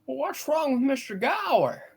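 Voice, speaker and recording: A woman voicing a frantic, bewildered, exasperated family man, husky, in a hammed-up amateur play reading. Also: high-pitched, very animated, normal speed, a little mellow, pitched above the performer's own voice.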